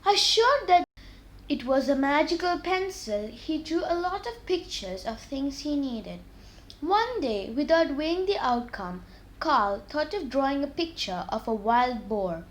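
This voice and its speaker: Female children's story reader, high-pitched, expressive and deliberate, scripted read-aloud delivery. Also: slight background noise, poor audio.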